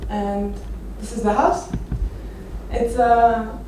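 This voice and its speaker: Woman from romania doing an informal talk, kinda halting, super echoey and far from the mic. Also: a little high, level tone, warm tone. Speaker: architecture photographer giving a conference talk. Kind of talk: informal presentation with personal stories. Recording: echoey noisy venue, distant microphone.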